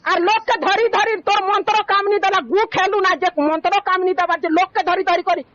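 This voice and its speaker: A woman's voice: very high voice, steady tone, fast, a bit bright. A woman with a Sambalpuri accent argues on a recorded phone call, scolding and accusing insistently and repeating her phrases. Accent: Sambalpuri (western Odisha). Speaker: caller in a family dispute. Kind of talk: heated argument on a phone call.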